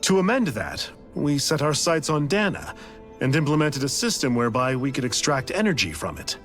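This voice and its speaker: A man's voice: on the high side, sing-song, moderate pace, fairly bright tone, faint music behind. A man voicing a blithe, eccentric scientist in a video game, lecturing with theatrical, casual detachment. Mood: smug explaining. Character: eccentric, blithe male scientist, coolly explaining a grand scheme. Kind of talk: scripted video game voice acting.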